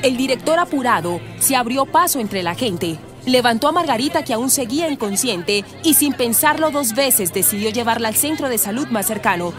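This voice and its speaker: A woman's voice: a little high, animated, normal speed, few pauses, music in the background, a crowd in the background. A woman with a Colombian accent reading scripted narration for a radio drama.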